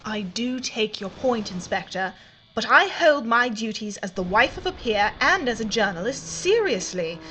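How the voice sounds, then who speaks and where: A woman's voice: a little high, lively intonation, moderate pace, fairly bright tone, a little hiss or hum, poor audio. An actress playing a bold, wry aristocratic lady journalist in a wartime audio drama, speaking formally with teasing assurance. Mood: earnest, firm. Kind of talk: period audio drama dialogue.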